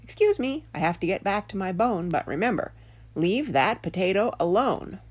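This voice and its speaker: A woman's voice: mid-range voice, sing-song, moderate pace. A woman voicing a cheeky little talking dog in a storybook, bossy and matter-of-fact. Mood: cheeky, insistent.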